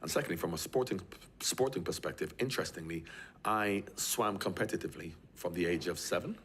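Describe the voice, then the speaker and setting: A man's voice: deep voice, a little fast, a bit bright, slight background noise. An older man from Jamaica, raised in England, talking at length as a guest and often repeating words as he thinks. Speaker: guest, former footballer. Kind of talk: conversational interview.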